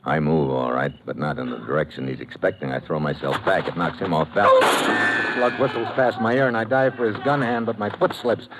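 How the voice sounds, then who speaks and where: Man playing hard-boiled investigator, very low voice, American accent, terse dry delivery, noir radio drama, noisy vintage recording. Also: fairly quick, hardly any pauses, lightly acted. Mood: urgent narration. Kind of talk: first-person noir narration and radio acting.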